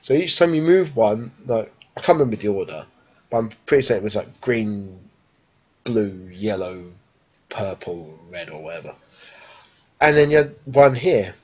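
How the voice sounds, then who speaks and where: Croaky guy with a cold rambling at his webcam from the couch, lots of ums, dull lo-fi sound. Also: on the low side, expressive, moderate pace, a little mellow. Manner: rambling, chatty, many fillers. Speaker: vlogger. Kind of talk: casual vlog chat. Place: living room sofa at home, then busy indoor exhibition hall. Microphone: webcam at home, handheld phone selfie at the show.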